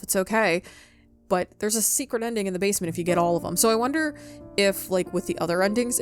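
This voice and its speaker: A woman giving casual, chatty gameplay commentary, talking affectionately to her viewers as host of her channel. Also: medium pitch. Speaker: video game streamer, channel host.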